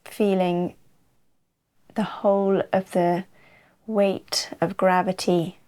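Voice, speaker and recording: A woman leading a guided yoga nidra relaxation as the teacher, in an unhurried, soothing way with long pauses. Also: fairly low pitch, little pitch movement, a little mellow. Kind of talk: slow guided relaxation narration.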